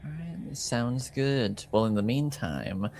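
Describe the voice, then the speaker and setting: A man's voice: mid-range voice, very animated, slow pace, vocal fry, a little mellow. A man running a tabletop roleplaying game as game master, mixing casual table talk with improvised narration.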